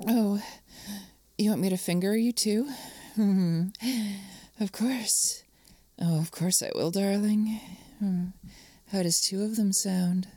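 A woman playing an affectionate, flirtatious lover in an intimate adult audio roleplay, breathy and often dropping into whispers. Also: mid-range voice, dark tone, no echo, clean studio sound. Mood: tender, eager. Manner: slow, lingering, tender delivery with pet names.

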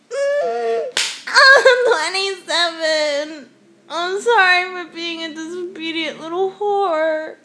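A woman counting aloud in a roleplay, tearful and whimpering, with breathy laughs and gasps between counts. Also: very high-pitched, bright, forceful tone, slight background noise.

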